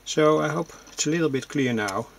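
A man with a creaky voice gives a halting, unscripted technical demonstration, explaining things plainly. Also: fairly high pitch, a little hiss or hum. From Netherlands. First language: Dutch. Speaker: hobbyist radio builder, presenter. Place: indoors at a home workbench. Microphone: handheld camera's built-in microphone.

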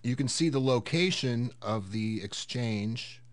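Slow-paced man giving a tutorial. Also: medium pitch, lively intonation. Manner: unhurried, step-by-step explaining. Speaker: host of a tech tutorial series. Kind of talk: casual screen-recorded software tutorial.

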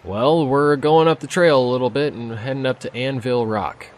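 A man's voice: medium pitch, fairly even intonation, normal speed, close to an everyday voice. A man playing a young park ranger in an audio drama, casual and easygoing. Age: young adult. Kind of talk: audio drama acting, conversational.

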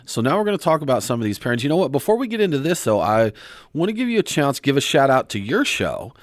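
A male radio host speaks in an easy conversational style. His voice sits at a middling pitch and is captured dry and close. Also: sing-song, quick pace, dry recording. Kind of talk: casual radio hosting.

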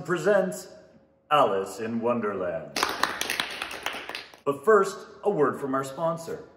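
A man's voice: medium pitch, a little hiss or hum, close to an everyday voice. A man playing a polished, upbeat 1930s radio announcer reading a script. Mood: formal, upbeat. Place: theatre stage. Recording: roomy theatre stage.

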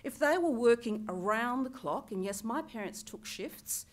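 A woman's voice: on the high side, expressive, moderate pace. A woman in her fifties, a journalist from Greece, giving an earnest personal talk at a conference lectern with wry asides, through a headset mic in a large hall.

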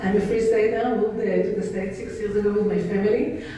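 A woman's voice: mid-range voice, steady tone, fairly quick, dark tone. A woman with an Israeli accent moderating a panel, informally introducing it to an audience in a conference room. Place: large indoor conference room. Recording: very echoey room, distant mic, faint noise.

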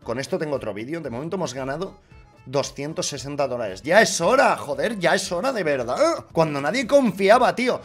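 A man with a Castilian Spanish accent doing casual, foul-mouthed, excitable gaming commentary for his viewers. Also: a little high, fairly quick, slightly rough, faint music behind. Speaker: YouTuber, gaming streamer. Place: small room with acoustic foam panels. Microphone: boom-arm desk mic close up.

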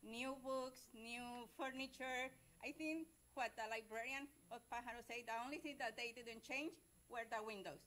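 Loud high-voiced woman. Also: slight background noise. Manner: loud, emphatic, halting. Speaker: school librarian and parent. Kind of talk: public comment. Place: large meeting room.

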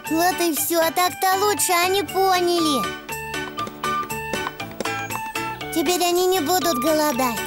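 Little cartoon donkey kid, super high voice, slow childlike talk, kind and eager, music behind. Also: female voice. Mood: pleased. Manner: bright-eyed, childlike, exclamatory.

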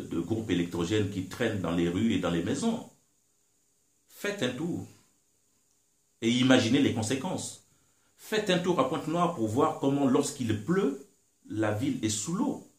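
A middle-aged man speaks in an indignant, emphatic way, his pitch rising and falling. He sits in a living room in front of a close camera. The sound carries a roomy echo. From Republic of the Congo. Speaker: guest, political commentator. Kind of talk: impassioned interview talk. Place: living room, seated in armchair.

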